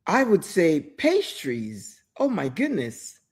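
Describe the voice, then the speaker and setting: A woman's voice: lowish voice, huge pitch swings, takes it slowly, a little mellow, husky. A woman hosting a motivational talk show, retelling a story with emphatic repetition and speaking directly to the listener. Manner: emphatic, repeats words for effect, addresses listener directly; storytelling with quoted inner thoughts. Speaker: host.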